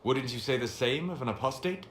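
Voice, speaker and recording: An actor voices a composed male mage in a fantasy game. He explains things with measured, articulate certainty at a medium pitch. The recording is roomy with faint noise. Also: animated, a bit bright, lightly acted. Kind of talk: dramatic video game acting.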